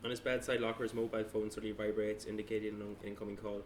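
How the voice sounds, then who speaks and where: A man's voice: on the low side, flat delivery, very fast, a little hiss or hum. A man narrating stage directions at a table read, plainly, with small stumbles as he reads.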